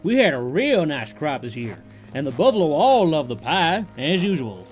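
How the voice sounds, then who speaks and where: A man's voice: a little high, very animated, normal speed, over loud music. A man voicing a friendly young male cowboy pony in a fan audio drama, with a polite, folksy drawl.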